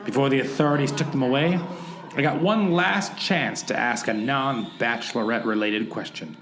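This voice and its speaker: A man playing an earnest amateur sleuth who narrates a comic radio play with deadpan drama. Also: medium pitch, moderate pace, slight background noise, close to an everyday voice. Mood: wry, earnest. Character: earnest noir-style male amateur sleuth narrating his rescue mission. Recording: roomy echo with music underneath.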